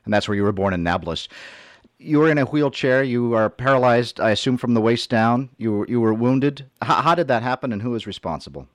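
A man hosting a radio interview program, speaking in a measured way with frequent hesitant fillers. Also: mid-range voice, lively intonation, dry recording, studio quality. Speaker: radio host. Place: radio studio.

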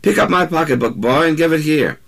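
A man's voice: fairly high pitch, animated, a little fast, creaky, fairly bright tone, pitched above the performer's own voice, heavily acted. A man voicing a large, stern, motherly woman in a story reading, scolding in folksy dialect.

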